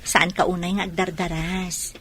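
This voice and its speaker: A woman's voice: fairly low pitch, level tone, normal speed, a bit bright, slight background noise, close to an everyday voice. A woman acting a caring wife and mother in an Ilocano radio drama. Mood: calm, caring.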